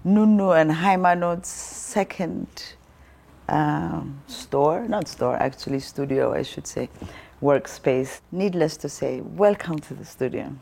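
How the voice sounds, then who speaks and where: A woman hosts a talk show, her voice set somewhat low with a gravelly, creaky edge. She asks questions in an encouraging, lightly laughing way. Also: slow, mellow, slight background noise. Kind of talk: interviewing a guest.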